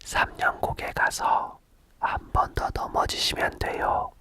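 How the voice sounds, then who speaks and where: A man's voice: unhurried, lightly acted. A man whispering the part of a sly, cheerful salt seller in a folk tale. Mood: coaxing.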